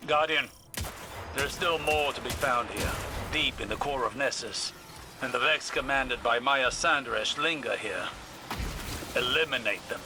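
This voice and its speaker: Male actor as game mission guide, mid-pitched, measured, composed, clearly noisy background. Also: lightly acted. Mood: focused. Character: composed, purposeful mission guide, adult man. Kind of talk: video game mission radio dialogue.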